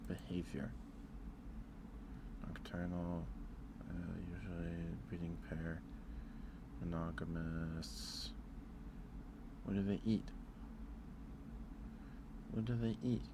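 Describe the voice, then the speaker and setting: A man with a low voice talks slowly and softly, as in a casual ASMR livestream. He muses aloud to his viewers in a hesitant, informal way. Clear background noise sits behind his voice. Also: flat delivery. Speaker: live streamer hosting an ASMR stream.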